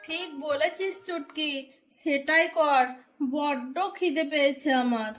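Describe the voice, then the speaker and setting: A woman's voice: high voice, slow pace, fairly bright tone, faint music behind. A woman playing a scheming, self-serving middle-aged village stepmother in a cartoon folk tale, with pointed, exclaiming delivery. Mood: sweetly self-serving.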